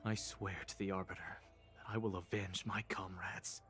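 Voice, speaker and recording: A man's voice: low voice, little pitch movement, moderate pace, fairly bright tone. A man playing a bitter, solemn soldier sworn to vengeance in a fantasy video game. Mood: bitter, vowing revenge. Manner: grave, solemn, dramatic. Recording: game music behind.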